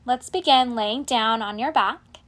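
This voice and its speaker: A woman leading a guided relaxation meditation in a calm, measured, soothing way, letting her phrases end softly. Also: fairly high pitch.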